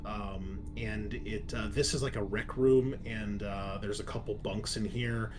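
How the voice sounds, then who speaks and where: A man narrating a tabletop roleplaying game as game master, casual and halting as he improvises descriptions. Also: on the low side, fairly even intonation, background noise.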